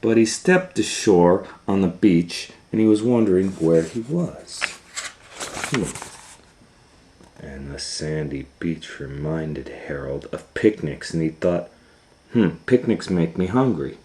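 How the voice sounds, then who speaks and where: Man, very low voice, unhurried pace, children's storybook reading, informal musing delivery. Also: animated, warm tone. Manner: improvised asides and musing hums. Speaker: storytime host and reader.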